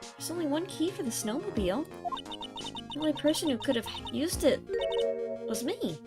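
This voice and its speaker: A woman voicing a soft, apologetic young shrine maiden, guarded but earnest, for a video game. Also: high-pitched, lively intonation, moderate pace, over loud music, pitched above the performer's own voice. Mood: meek.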